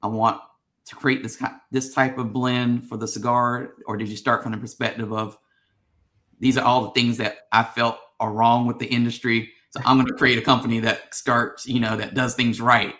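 A man's voice: mid-range voice, little pitch movement, normal speed, sharp tone. Chatty male podcast host. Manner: rambling, friendly questions with many fillers. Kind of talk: casual interview.

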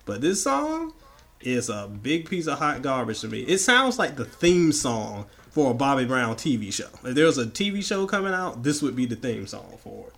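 Southern guy co-hosting a podcast, raspy and hoarse from the flu, loud strong opinions. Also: medium pitch, normal speed. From American South. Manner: animated, opinionated and joking. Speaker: podcast co-host. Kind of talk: casual chat.

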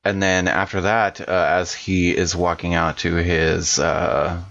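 Male tabletop player, very low slow voice, halting casual narration, slightly muffled recording. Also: flat delivery, fairly bright tone. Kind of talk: casual in-game narration of his character.

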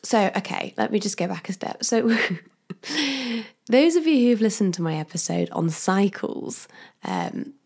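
Chatty woman podcast host, slightly croaky. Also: medium pitch, lively intonation, a little slow, darkish tone. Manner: chatty and upbeat, laughs often, talks straight to the listener. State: getting over a cold, slightly croaky. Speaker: podcast host and career coach. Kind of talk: casual solo podcast monologue.

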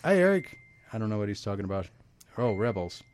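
A man's voice: on the low side, very animated, fast, darkish tone, a little hiss or hum. A man hosting a live radio talk show, chatting casually, laughing often and reading out listener messages.

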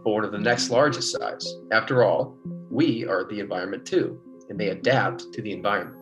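Low-voiced earnest male scientist played by an actor. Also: moderate pace, over music, close to an everyday voice. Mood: insistent. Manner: explanatory, insistent. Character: earnest male expedition biologist, recording clipped field reports. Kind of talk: classic radio science fiction drama.

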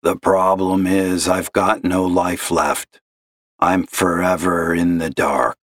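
A man voicing a miserable, gravel-voiced caller who talks slowly and gloomily. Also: low voice, little pitch movement, a bit bright, dry recording, full character voice. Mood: gloomy, bitter. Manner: slow, gloomy and accusing. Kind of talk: audio story reading.

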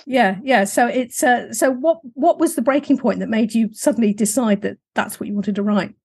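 A middle-aged woman with a husky, slightly croaky voice, hosting a podcast in a chatty, informal, rambling way. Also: on the high side, moderate pace, a little mellow. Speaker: podcast host. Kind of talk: casual conversational podcast hosting.

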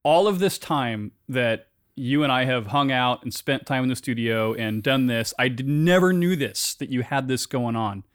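A man's voice: mid-range voice, animated, moderate pace, few pauses, smooth, dry recording, clean studio sound. A man hosting a casual interview, asking questions and teasing his guests with jokes. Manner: teasing, joking. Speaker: podcast host.

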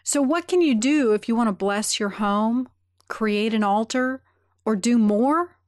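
A woman's voice: fairly high pitch, a little slow, darkish tone. A woman hosting an interview podcast, chatting upbeat and enthusiastically with her guest. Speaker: podcast host.

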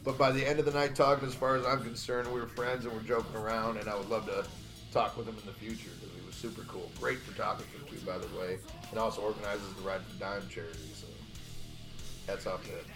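A man's voice: lowish voice, steady tone, fast talker, music in the background. A man co-hosting a podcast, telling stories in a rambling, hesitant way with many restarts. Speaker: podcast co-host. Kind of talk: casual podcast chat.

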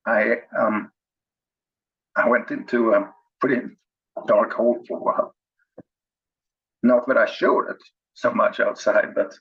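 Gravelly Swedish-accented man, husky and low. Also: a bit bright. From Sweden. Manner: halting, many fillers and restarts. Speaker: podcast guest. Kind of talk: candid personal storytelling. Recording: dull lo-fi sound.

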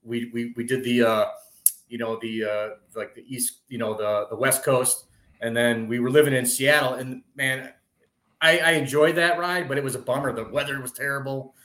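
A man from Wisconsin, a podcast guest, telling his travel story in a relaxed, rambling way, full of "man" and "you know". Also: mid-range voice, moderate pace. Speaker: podcast guest, traveling fishing content creator. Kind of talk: casual storytelling chat. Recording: roomy echo.

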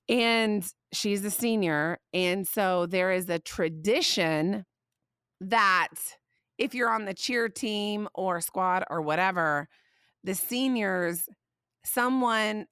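A woman's voice: medium pitch, no room sound, clean studio sound. Chatty woman with a slightly scratchy voice. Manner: chatty, warm-hearted, animated storytelling. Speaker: podcast host. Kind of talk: casual faith podcast chat.

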